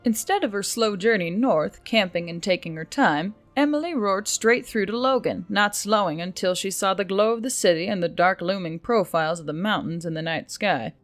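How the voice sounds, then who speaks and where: A woman's voice: mid-range voice, normal speed, few pauses, no echo. Woman narrating a story over music. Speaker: story narrator, channel reader. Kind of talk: scripted audiobook-style narration. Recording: music behind the voice. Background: background music.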